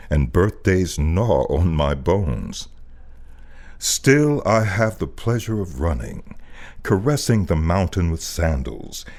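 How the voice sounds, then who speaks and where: American male poetry reader, extremely deep voice, dramatic and wide-ranging delivery. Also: vocal fry. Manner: deliberate, expressive, theatrical phrasing. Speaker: voice artist reading a poem.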